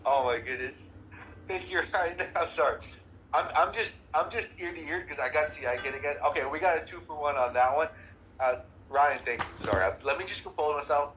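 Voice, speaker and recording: Male host, bright and lively, effusive; slight noise behind. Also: a little high, a little fast, low-quality recording. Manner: effusive, laughing, apologetic. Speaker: meeting host. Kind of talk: hosting an online meeting.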